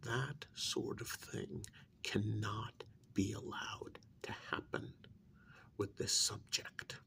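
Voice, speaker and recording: A man playing a stern, secretive government handler in an ASMR role-play, speaking softly in a pointed, reprimanding, deliberate way. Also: on the low side, fairly even intonation, full character voice. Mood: stern, scolding. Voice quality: breathy near-whisper with gravelly creak. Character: stern, secretive male government handler scolding an undercover agent.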